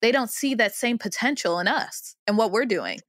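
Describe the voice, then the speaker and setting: A woman's voice: on the high side, expressive, moderate pace, fairly bright tone, no room sound, studio quality. A woman hosting a podcast, responding and asking questions in a conversational interview style.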